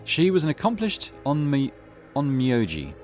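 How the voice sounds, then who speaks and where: A man's voice: a little high, huge pitch swings, normal speed, a little mellow, soft background music. A man hosting a let's play, mixing casual commentary with reading story text aloud.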